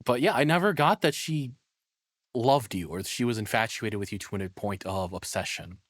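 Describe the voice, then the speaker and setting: A man's voice: fairly low pitch, animated, moderate pace, dry recording, clean studio sound. A man giving casual, enthusiastic, rambling live reaction commentary as a streamer.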